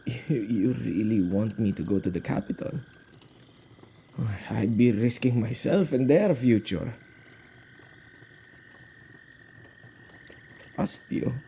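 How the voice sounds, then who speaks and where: A man plays an anxious male witch and guardian of spirits in an ASMR audio drama. His voice is very dark and mellow with a gravelly crackle, and his delivery is intimate, expressive and halting. There is faint noise behind him. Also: mid-range voice. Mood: agitated, pleading.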